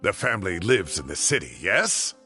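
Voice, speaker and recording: An actor playing a fussy, indignant retired professor of advanced age, grumbling and theatrical, in a game scene with faint music. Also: male voice, deep voice, sing-song, moderate pace. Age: older.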